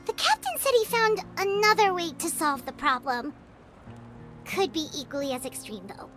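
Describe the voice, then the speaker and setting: A little fairy sidekick for a video game, childlike and excitable. The voice is squeaky and extremely high, swinging widely in melody. She is loyal and quick to exclaim, with prominent music playing behind her. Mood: curious. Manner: exclamatory, speaks of self in third person.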